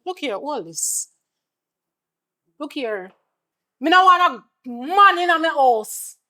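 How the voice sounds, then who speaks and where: A woman's voice: fairly high pitch, expressive, a little slow. An actress playing a stern, exasperated middle-aged mother with a Jamaican accent, scolding and confronting her grown son with pointed questions.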